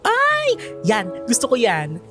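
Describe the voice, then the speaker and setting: An actress playing an excitable, gushing young woman, a best friend in a radio drama. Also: on the high side, slow, heavily acted. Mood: excited. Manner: exclamatory, gushing, Taglish. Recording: music behind the voice.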